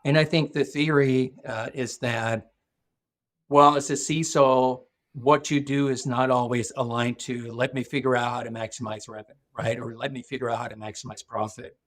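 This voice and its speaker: A man's voice: medium pitch, steady tone, a bit bright. A middle-aged man from Norway, a guest speaking thoughtfully in a podcast interview, often restarting his sentences. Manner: thoughtful, measured, with frequent restarts. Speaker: guest, security executive.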